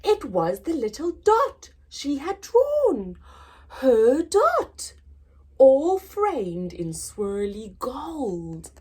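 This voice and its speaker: A woman hosting a children's story time, reading aloud in an expressive, deliberate, sing-song way for small children. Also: sits high, slightly rough. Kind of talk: animated read-aloud for young children.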